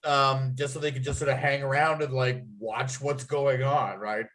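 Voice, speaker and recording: Man, medium pitch, halting but keen, informal group discussion, thinks out loud. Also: fairly even intonation. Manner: halting, enthusiastic, rambling. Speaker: writer leading a table-read discussion. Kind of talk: casual group chat.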